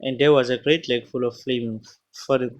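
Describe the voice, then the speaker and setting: A man's voice: medium pitch, fairly even intonation, dull audio. A man whose first language is not English reads scripture aloud and comments on it casually, often stopping to correct himself and exclaim. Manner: halting, stops often to correct words and exclaim. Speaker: Bible reader and commentator.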